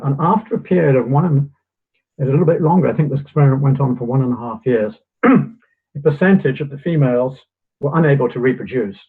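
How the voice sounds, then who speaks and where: A man who is a guest author, explaining things in a measured way and getting hoarse. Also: medium pitch. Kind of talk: casual interview chat. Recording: tinny phone line.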